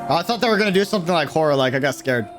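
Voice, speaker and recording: Young, slangy livestream reactor, mid-pitched and gushing, loud music underneath. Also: expressive, very fast. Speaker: streamer reacting to and breaking down a game trailer. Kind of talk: casual livestream reaction commentary. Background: loud game trailer music.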